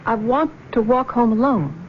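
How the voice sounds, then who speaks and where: An actress plays a troubled young American woman in a 1970s radio drama. Her fairly high voice is earnest, hesitant and emotional. A faint noise sits under the old broadcast recording. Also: expressive, normal speed, highs cut off, close to an everyday voice. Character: troubled young woman, guilt-haunted, breaking from her mother.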